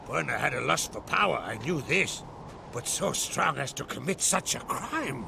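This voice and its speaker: A man playing a proud, weary middle-aged island chieftain in a video game, solemn and blunt, with a gravelly voice. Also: medium pitch, expressive, moderate pace, noisy background. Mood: grave, resolute. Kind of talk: dramatic video game acting.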